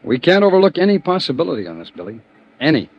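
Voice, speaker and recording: A man's voice: on the high side, big pitch swings, fast talker. An American man playing a cool, dogged insurance investigator in a 1950s radio detective drama. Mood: businesslike, probing. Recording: dull vintage radio recording, some music.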